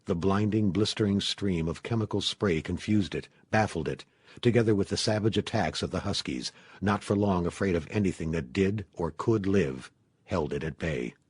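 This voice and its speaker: Low raspy guy reading a scary audiobook, dramatic narration, dry studio sound. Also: moderate pace, no room sound. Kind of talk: scripted dramatic horror fiction narration.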